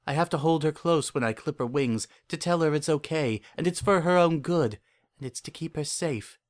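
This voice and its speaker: A man's voice: on the high side, normal speed, lightly acted. A man playing a lonely, delusional, obsessive narrator in a first-person dramatic story reading, with an earnest and uneasy intensity. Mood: tender, obsessive. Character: lonely, obsessive, delusional man confiding his private devotion.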